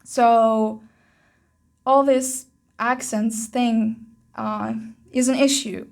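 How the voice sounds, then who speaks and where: A young woman from Algeria with an Algerian accent in English, talking through her views in a casual vlog, pausing often with "um" and "uh". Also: a little high, steady tone, vocal fry, a little mellow. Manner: thoughtful, pauses often with "um" and "uh". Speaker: channel host.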